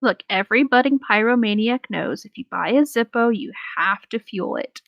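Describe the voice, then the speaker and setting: A woman's voice: on the high side, normal speed, dry recording, dull audio. A woman casually reading a first-person story aloud and chatting between lines. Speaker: co-reader of an internet story.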